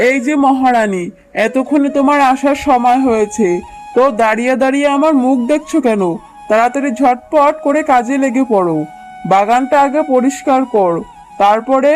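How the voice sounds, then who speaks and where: A domineering cartoon tigress with a high voice, played by a woman. She is a stingy, strict boss who scolds with sarcasm. Faint music runs underneath. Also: a little mellow, close to an everyday voice. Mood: irritated, sarcastic. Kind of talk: children's cartoon dubbing.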